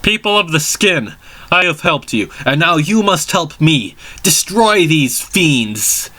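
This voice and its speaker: A smug villain mastermind from a storybook, put on by a male reader. He speechifies with pompous self-righteousness in a bright, forceful voice. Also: a little high, very animated, slow pace, voice pushed up, full character voice. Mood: commanding.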